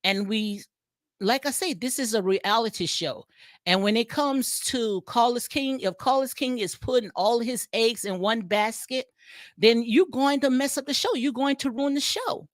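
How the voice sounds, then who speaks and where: A woman's voice: a little high, unhurried, no room sound, studio quality. Rambling Southern woman streaming commentary. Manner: rambling, repetitive, frequent fillers and restarts. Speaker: commentary vlogger. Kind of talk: casual reality-TV commentary livestream.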